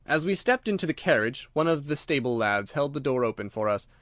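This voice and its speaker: A man's voice: medium pitch, lively intonation, fairly quick, fairly bright tone, dry recording, studio quality. A man reading scripted literary narration as an audiobook narrator.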